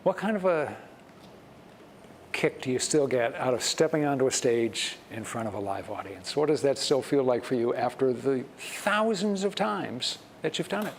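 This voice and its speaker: A man working as a TV news interviewer, asking clear, measured questions and reading a short wrap-up. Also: medium pitch, expressive, slight background noise.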